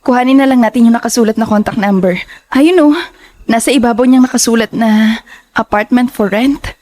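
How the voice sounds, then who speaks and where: A woman playing a grave, hesitant young woman in an audio horror drama, speaking in a measured, troubled way. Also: a little high, a little mellow, lightly acted. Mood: grave, thoughtful.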